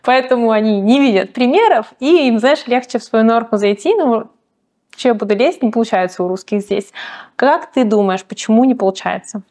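A woman's voice: fairly high pitch, fairly quick, darkish tone. A woman hosting an interview, asking questions in a chatty, informal way and murmuring along as she listens. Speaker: host, interviewer.

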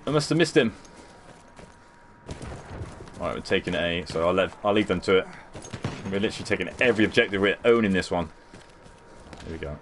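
A young man talks easily over his gameplay, answering chat in a relaxed way. His voice sits a little low. Quiet battle sounds hum beneath him. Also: fairly quick, long pauses. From United Kingdom. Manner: chatty, laid-back, reads and answers chat. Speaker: gaming livestreamer. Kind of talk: casual live commentary over gameplay.

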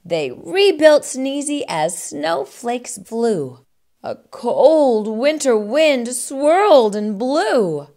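A middle-aged woman hosting storytime, reading a children's picture book aloud with expressive narration. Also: sits high, very slow. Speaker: storytime host.

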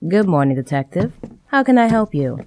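A woman playing a calm, obliging small-town schoolteacher in a video game. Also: fairly low pitch, fairly quick, noisy background. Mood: calm, welcoming. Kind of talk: video game cutscene acting.

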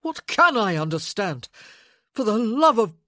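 A woman reading a novel, voicing a guilt-ridden, defensive man who talks in a terse, halting, desperate way. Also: on the low side, lively intonation, a little mellow, breathy edge, dry recording, clean studio sound, heavily acted. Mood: desperate, forceful.

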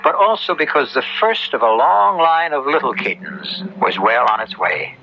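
A man hosting and narrating a radio drama in a measured, literary, solemn scripted style. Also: medium pitch, sing-song, slight background noise. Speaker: host and narrator. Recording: dull, band-limited old radio recording. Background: music.

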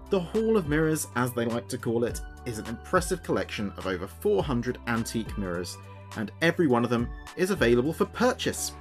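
A man playing a chatty late-night local radio DJ, with a measured presenter's delivery. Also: medium pitch, lightly acted. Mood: promotional. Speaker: radio host. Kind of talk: scripted late-night radio show narration.

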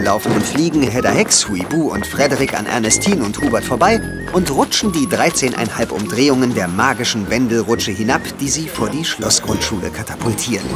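A man narrating a children's audio drama as a scripted storyteller. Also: medium pitch.

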